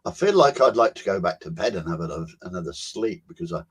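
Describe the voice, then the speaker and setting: Slow, low husky older man. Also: animated. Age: 70s. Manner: unhurried, musing, with soft laughs and pauses. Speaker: co-host. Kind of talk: reflective personal storytelling.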